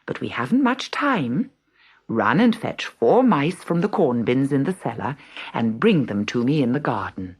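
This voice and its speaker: Woman as calm, low-voiced fairy godmother. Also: moderate pace, breathy edge, heavily acted. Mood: kindly, brisk.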